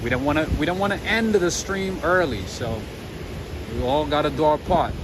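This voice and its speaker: A man talks casually to his livestream audience as he walks a city street at night, his voice sitting a little high. He speaks into a handheld phone, and loud traffic noise fills the background. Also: moderate pace. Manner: chatty, addresses viewers, lively swings in pitch. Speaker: livestream walking-tour host. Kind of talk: casual livestream chat with viewers.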